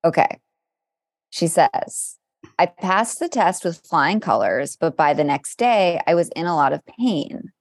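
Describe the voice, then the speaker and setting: Lower-voiced woman, dry playful co-host. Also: very animated, unhurried, dry recording. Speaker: podcast co-host. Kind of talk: casual chat with dramatic read-aloud.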